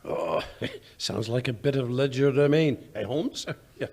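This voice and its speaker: A man playing a genial, blustery English gentleman sidekick in an old-time radio drama, with a husky voice and a bumbling, stammering delivery. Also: mid-range voice, unhurried. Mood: amused, musing.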